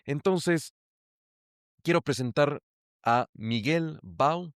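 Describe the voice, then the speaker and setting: A man's voice: fairly high pitch, very slow, no echo, studio quality. A male podcast host who interviews his guest with curious, engaged questions and exclamations. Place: lives in Ecuador.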